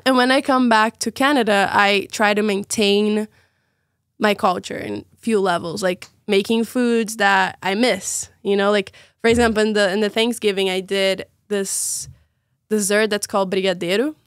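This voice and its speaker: A woman's voice: mid-range voice, fairly even intonation, moderate pace, no room sound. Chatty Brazilian woman, dry sound. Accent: Brazilian Portuguese. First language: Portuguese. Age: young adult. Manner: chatty, laughs often, many "like" fillers. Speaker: guest, former student. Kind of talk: casual podcast chat.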